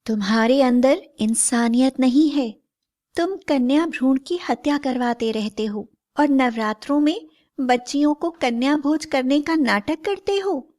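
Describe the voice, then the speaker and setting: A woman's voice: sits high, a little slow, a little mellow. A woman voicing a stern, righteous mother goddess who admonishes and preaches in a moral storybook tale. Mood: stern rebuke. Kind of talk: scripted moral storybook acting.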